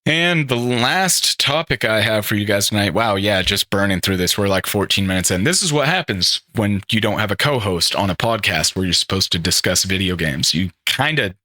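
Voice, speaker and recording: A man's voice: lowish voice, animated, normal speed, few pauses, bright, forceful tone, dry recording, studio quality. A young man hosting a gaming podcast alone, rambling and enthusiastic, often correcting himself as he talks through the games. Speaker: podcast host. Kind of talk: solo casual gaming podcast commentary.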